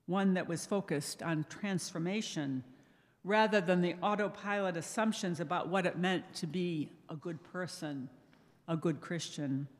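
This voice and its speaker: An older woman speaking softly and thoughtfully to a congregation, sharing memories in a measured way as a lay speaker. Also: fairly low pitch. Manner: soft-spoken, measured, reminiscing. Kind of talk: reflective personal talk to a congregation. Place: church sanctuary. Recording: echoey church hall reverb.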